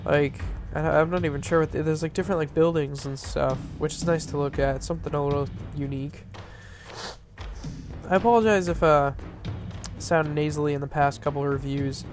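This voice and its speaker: Man, nasal stuffy voice, fairly high pitch, casual rambling game commentary, lots of fillers, soft music underneath. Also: fairly even intonation, a little fast. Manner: rambling, filler-heavy, self-correcting. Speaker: map reviewer. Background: faint background music.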